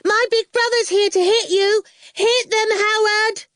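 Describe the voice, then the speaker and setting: A woman's voice: very high voice, fairly even intonation, measured pace, dry recording, clean studio sound, pitched above the performer's own voice. Piercing, bossy little girl. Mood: shouting. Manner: demanding, loud. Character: shrieking bratty eight-year-old girl, bossy and awful.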